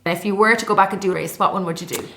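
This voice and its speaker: A woman's voice: mid-range voice, fairly even intonation, racing, a bit bright, a little hiss or hum. A woman in her forties hosting a casual interview, asking questions in a friendly, conversational way. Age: 40s. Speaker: podcast host. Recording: echoey room.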